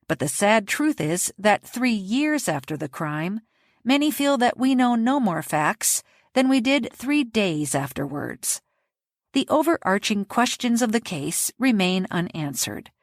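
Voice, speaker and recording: A woman hosting a podcast, reading scripted true-crime narration in a measured, even way. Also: mid-range voice, dry recording, studio quality. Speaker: podcast host.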